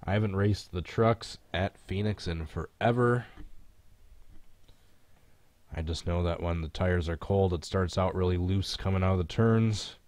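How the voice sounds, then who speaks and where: A man's voice: deep voice, measured pace, darkish tone, no echo. A man with a stuffy, congested voice chatting offhandedly while live streaming a racing game. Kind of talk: casual live stream chat and game commentary.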